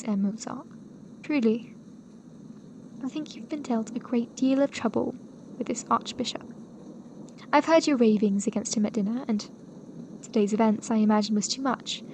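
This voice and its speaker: Woman playing high-voiced, earnest young period gentlewoman. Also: slight background noise, natural voice, low-quality recording. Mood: sympathetic. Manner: polite, formal, earnest old-fashioned speech. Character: kind, earnest, devoted young gentlewoman of the 1780s. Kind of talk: period audio drama acting.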